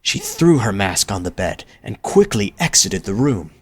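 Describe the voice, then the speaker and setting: Slightly low-voiced male story narrator. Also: big pitch swings, moderate pace, slightly rough.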